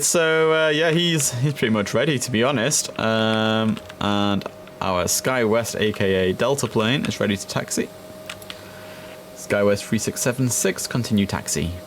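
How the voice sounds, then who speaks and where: A young man chatting casually through a simulator game, relaxed and filling pauses with ums and uhs. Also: fairly low pitch, a little hiss or hum. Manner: chatty, relaxed, with frequent fillers. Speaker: gaming streamer. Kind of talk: casual gameplay commentary.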